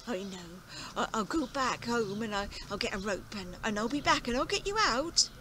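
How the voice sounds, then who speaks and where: A woman telling a story plays a kindly old farmer, flustered and fretful, tripping over his words as he worries aloud. His voice sits on the high side. The recording carries noticeable outdoor ambience and birdsong. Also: very animated, normal speed, background noise. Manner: stammering, fretful, repeats himself.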